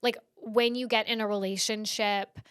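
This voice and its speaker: A woman's voice: mid-range voice, level tone, moderate pace, fairly bright tone, clean tone, no echo, clean studio sound. A woman in her early thirties hosting a casual solo podcast, chatty and full of fillers, sniffly and stuffed up from hay fever. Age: early 30s. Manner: chatty, heavy use of "like". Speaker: podcast host.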